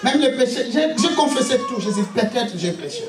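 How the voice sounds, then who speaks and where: A man from Congo preaching a fervent sermon and giving personal testimony, punctuating it with repeated hallelujahs, sometimes shouting, sometimes stumbling and repeating words. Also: very high voice, expressive, a little fast, music in the background. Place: church. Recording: roomy church hall, mic at a distance, congregation audible. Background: congregation responding.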